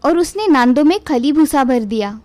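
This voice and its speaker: A woman's voice: sits high, expressive, moderate pace, darkish tone, no room sound. A woman narrating a scripted moral story for children.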